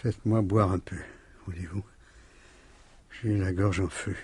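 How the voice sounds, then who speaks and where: An actor playing an ailing, sardonic middle-aged husband in a French radio mystery, with a husky voice and ironic, drawling delivery. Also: male voice, low-pitched, steady tone, a little slow, a little mellow, exaggerated voice. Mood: weary, tender. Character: ailing, sardonic, bedridden husband suspected of murder.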